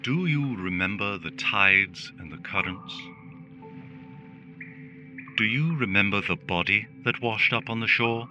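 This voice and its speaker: Forceful, ominous government robot. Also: male voice, lowish voice, measured pace, pauses often. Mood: eerie soothing. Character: caring but menacing government relaxation robot, male. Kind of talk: dystopian radio drama narration. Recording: music under the voice.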